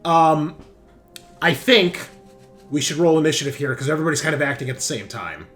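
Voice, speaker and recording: A man running a tabletop roleplaying game as game master, narrating casually, with loose swearing and excitable reactions. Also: on the high side, a little fast. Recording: background music under the voice. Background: music.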